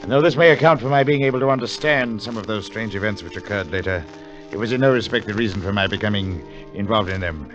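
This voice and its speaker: An actor playing a middle-aged English professor of archaeology in a 1940s radio drama, bookish and eager, with a scholarly, avuncular manner. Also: male voice, lowish voice, fast talker, hardly any pauses, a bit bright, close to an everyday voice. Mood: reflective, ominous. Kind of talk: 1940s radio drama acting, first-person narration and dialogue. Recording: muffled vintage radio recording, music behind.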